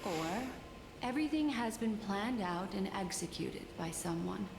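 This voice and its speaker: An actress playing a poised, mysterious and shrewd woman in a fantasy video game, advising calmly in measured, formal phrases. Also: medium pitch, gravelly, a crowd in the background. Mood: grave, thoughtful. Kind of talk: scripted video game dialogue. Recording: reverberant voice under loud game music.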